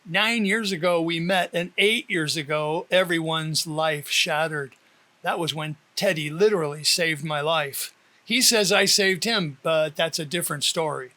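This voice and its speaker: Author-narrator: man with a high, bright voice, expressive scripted first-person storytelling. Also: unhurried. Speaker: author reading own audiobook.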